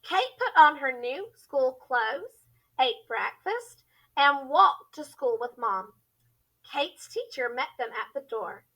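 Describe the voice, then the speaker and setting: Female teacher narrator for a children's read-aloud: bright, animated, deliberate storytelling. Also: high voice, low-quality recording.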